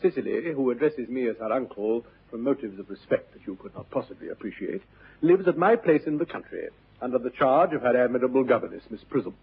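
An earnest young English gentleman, pompous and easily exasperated, speaking formally in upper-class tones. His delivery is animated and theatrical, with a markedly rough, veiled tone. The sound is that of a dull vintage radio recording. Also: mid-range voice, fairly quick. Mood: lofty, explaining. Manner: indignant, lofty, formal.

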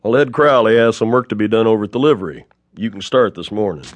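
An actor playing a fair-minded, middle-aged town marshal in an audio western, with an American accent and a measured, dryly folksy way of talking. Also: male voice, on the low side, animated, muffled recording, slight background noise, close to an everyday voice. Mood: surprised, approving. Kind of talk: audio western drama acting.

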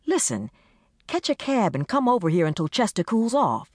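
A woman voicing a young bride-to-be who talks in a firm, calming way. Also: medium pitch, moderate pace, no room sound, studio quality, voice pushed up.

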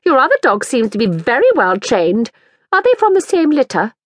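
A woman's voice: on the high side, sing-song, normal speed, no room sound, studio quality, pitched above the performer's own voice. A woman voicing an impatient young mother who grows exasperated, in a storybook reading.

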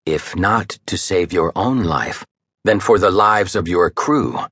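A man voicing an ancient female serpent naga who speaks softly with a hiss, calm and hushed. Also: deep voice, takes it slowly, slightly rough, no echo, exaggerated voice. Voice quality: soft, hissing, hauntingly beautiful.

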